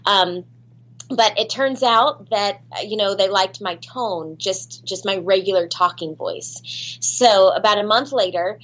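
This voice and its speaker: A woman's voice: mid-range voice, measured pace, fairly bright tone. A woman guest in an interview, chatting casually and laughing at herself, with lots of ums and restarts. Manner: chatty, self-deprecating, many fillers and restarts. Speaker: voice actress guest.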